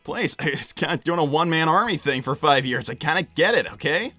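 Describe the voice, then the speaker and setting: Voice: mid-range voice, animated, brisk, pushed and bright, no room sound, faint music behind. Someone voicing an earnest, stammering young hedgehog hero. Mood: stubborn, earnest. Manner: hesitant, stammering, insistent. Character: earnest, pleading young male hedgehog hero, informal and stubborn. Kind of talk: improvised live character roleplay.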